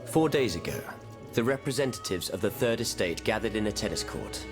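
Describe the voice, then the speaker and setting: An actor playing a solemn, persuasive revolutionary in a video game, measured and formal. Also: male voice, on the low side, expressive, natural voice. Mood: solemn, proud. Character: grave, solemn French revolutionary leader, discreet and persuasive. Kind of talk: video game cutscene acting. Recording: game music behind.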